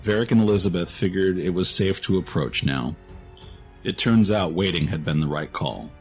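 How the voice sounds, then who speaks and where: A man's voice: low-pitched, moderate pace, soft background music. A man narrating a scripted fantasy story in a dramatic storytelling style.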